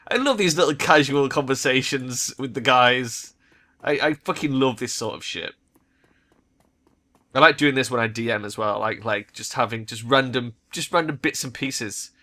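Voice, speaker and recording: A man hosting a let's play, chatting casually about the game, his voice slightly croaky. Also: mid-range voice, normal speed, bright, forceful tone. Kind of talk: casual game commentary mixed with reading dialogue aloud.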